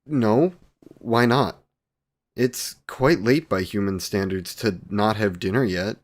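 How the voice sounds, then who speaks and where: Man playing a slow-spoken, low-voiced courtly vampire boyfriend. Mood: concerned. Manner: archaic formal wording, tender endearments. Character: courtly, devoted 128-year-old male vampire boyfriend, youthful, doting. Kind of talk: scripted romantic comfort audio roleplay.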